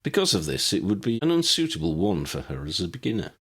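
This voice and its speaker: A man reads a story aloud as an audiobook narrator. His voice sits low and has a husky, slightly rough texture. The delivery is scripted narration. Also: huge pitch swings, quick pace.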